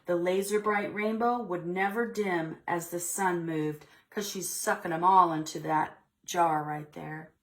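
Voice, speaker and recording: A woman leading a preschool storytime, reading a picture book aloud expressively and pausing to ask the children questions. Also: lowish voice, steady tone.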